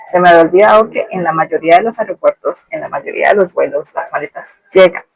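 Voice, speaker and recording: A woman's voice: fairly low pitch, fast, breathy edge, no room sound, rough recording. Colombian woman telling a personal story, close-sounding. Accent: Colombian Spanish. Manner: reflective, conversational, colloquial. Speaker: podcast host.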